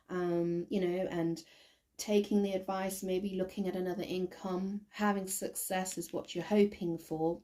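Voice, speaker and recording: A woman with a croaky voice doing a casual tarot reading to camera, thinking aloud with many ums and restarts. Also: fairly low pitch, mellow. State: croaky, recovering from bronchitis. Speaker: tarot reader.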